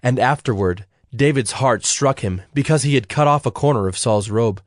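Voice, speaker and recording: Male Bible narrator, dry and measured. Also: mid-range voice, no room sound. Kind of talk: scripted Bible story narration.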